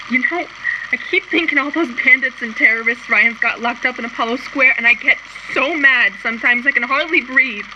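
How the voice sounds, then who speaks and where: A woman's voice: sits high, close to an everyday voice. Woman acting plaintive showgirl on noisy lo-fi recording. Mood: angry, hurt. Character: scarred, lonely, embittered young showgirl keeping audio diary. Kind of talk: radio drama monologue. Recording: dull lo-fi recording, loud background noise.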